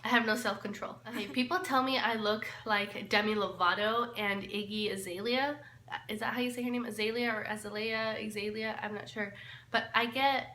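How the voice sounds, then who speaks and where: Bright-voiced young woman vlogging. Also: fairly high pitch. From El Salvador. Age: 20s. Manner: chatty, laughs often, trails off with "so". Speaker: lifestyle vlogger. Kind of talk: casual get-to-know-me chat. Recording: echoey room, faint hiss.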